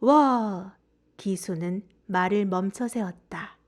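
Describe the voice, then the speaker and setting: A woman acting in an audio drama as a gentle, agreeable librarian with an unhurried, lyrical delivery. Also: mid-range voice, close to an everyday voice.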